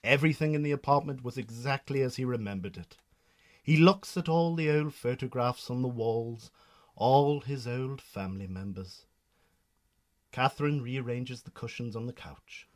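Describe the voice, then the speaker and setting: A man narrates a radio drama in a Northern Irish accent. His voice sits at a middle pitch, and he reads scripted scene descriptions as a storyteller. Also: normal speed.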